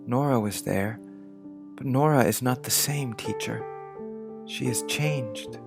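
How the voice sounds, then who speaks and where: A man's voice: medium pitch, takes it slowly, gravelly. A man playing an earnest, affectionate, dreamy thirteen-year-old boy in a dramatized story. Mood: sober, disappointed. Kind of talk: dramatized audiobook character acting. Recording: music bed under the voice.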